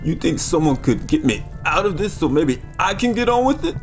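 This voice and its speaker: A man's voice: on the high side, sing-song, a little fast, soft background music. A man playing a wisecracking, kvetching sidekick pilot with a folksy, wry, nagging manner in an adventure motion comic. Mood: wry, griping.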